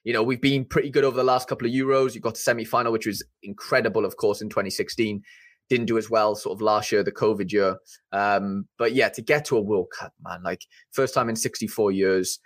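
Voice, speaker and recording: A man's voice: lowish voice, fairly even intonation, brisk, dry recording, studio quality. A young Welsh man with a Welsh accent, a podcast guest chatting casually, rambling and eager with many fillers and restarts. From Wales.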